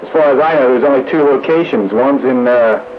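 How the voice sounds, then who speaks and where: An American man with a medium-pitched voice chats casually and plainly. His two-way radio signal is muffled, with clear noise running through it. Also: a little fast.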